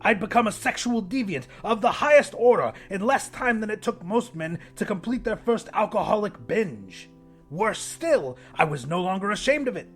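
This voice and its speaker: A man's voice: high-pitched, sing-song, moderate pace, husky, soft background music, heavily acted. A man narrating an audiobook as a pompous, depraved widowed English professor in his sixties, with an ornate, theatrical delivery. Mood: feverish, emphatic. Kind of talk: dramatic first-person audiobook narration.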